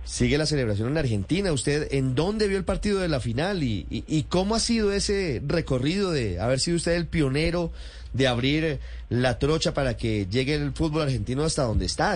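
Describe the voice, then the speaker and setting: A man hosting a radio interview, asking direct questions in a brisk manner. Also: a little high, darkish tone. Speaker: radio host.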